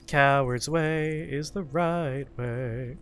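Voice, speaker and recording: A man's voice: fairly high pitch, very slow, fairly bright tone, soft background music. A man giving casual gameplay commentary as a show host, muttering wry, sweary reactions as he plays.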